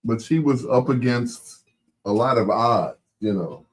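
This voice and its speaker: A man's voice: mid-range voice, animated, a little slow. A man hosting a casual late-night chat stream, rambling along in a laid-back way.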